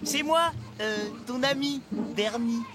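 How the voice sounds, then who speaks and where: Dopey excitable kid-show dude, super high pitched, slangy, music loud behind him. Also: male voice, slow. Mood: dismissive. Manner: slangy, casual, gushing. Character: goofy, naive, excitable young male dog-sitter. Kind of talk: animated children's cartoon dubbing.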